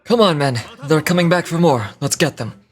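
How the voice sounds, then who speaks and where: A man's voice: a little high, normal speed, close to an everyday voice. A man playing a devoted, gallant samurai soldier in a video game, speaking formally and earnestly, as if declaiming. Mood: resolute. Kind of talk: dramatic reading of video game dialogue.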